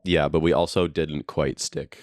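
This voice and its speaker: A young man trades dry, teasing banter while co-hosting a podcast. His voice sits very low, and the sound is close and dead, with no room around it. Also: steady tone, measured pace, no echo. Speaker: podcast co-host. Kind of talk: casual chat. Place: friend's basement.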